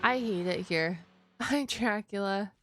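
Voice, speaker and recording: A woman's voice: medium pitch, slow, a bit bright. A woman game streamer giving casual, rambling live reaction commentary with slang and swearing. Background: game music.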